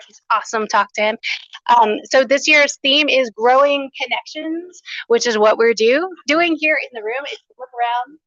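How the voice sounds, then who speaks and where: A woman's voice: fairly high pitch, dry recording, lo-fi sound. A woman hosting a small conference, giving upbeat welcoming remarks and housekeeping notes without a microphone. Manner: upbeat, enthusiastic, loud unamplified voice. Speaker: conference coordinator and host. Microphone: no microphone, room recording.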